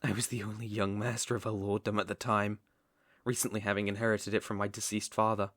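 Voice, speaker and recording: A man's voice: lowish voice, flat delivery, a bit bright, no room sound, studio quality, close to an everyday voice. A man playing an obsessive, sinister young villain king in an ASMR roleplay, speaking theatrically and sometimes dropping to a whisper. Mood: brooding. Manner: sinister, theatrical, slow drawn-out phrasing with whispers.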